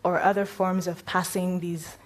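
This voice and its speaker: A woman's voice: on the low side, flat delivery, moderate pace. A woman on a live panel, speaking thoughtfully and searching for words as she reflects.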